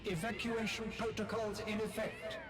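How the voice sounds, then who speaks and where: A woman's voice: mid-range voice, little pitch movement, moderate pace, over loud music, lightly acted. A woman voicing an impersonal computer that makes clipped system announcements in a video game. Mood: impersonal alert. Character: impersonal female facility computer announcing alerts.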